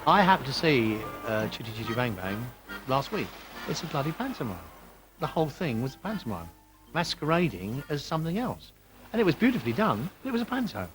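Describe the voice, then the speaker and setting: A man's voice: medium pitch, very animated, brisk, music in the background, rough recording. A man giving blunt, emphatic opinions as an interviewee.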